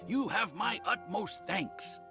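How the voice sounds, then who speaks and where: A man's voice: high-pitched, animated, takes it slowly, gravelly, over music, heavily acted. A man playing an effusive, polite landlord in a video game, eager to please. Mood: grateful. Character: obliging, effusive male landlord of a house. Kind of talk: video game character acting.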